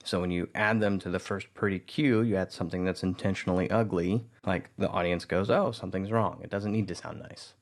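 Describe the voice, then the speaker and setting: A man with a fairly low voice talks through a music-editing tutorial. He keeps it relaxed and conversational, thinking aloud as he explains each trick. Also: a little fast, few pauses. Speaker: tutorial narrator.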